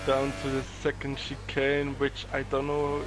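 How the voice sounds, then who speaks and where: A man's voice: mid-range voice, monotone, a little slow, music in the background, poor audio. A man co-commentating a sim racing session, hesitant and full of fillers as he adds color remarks.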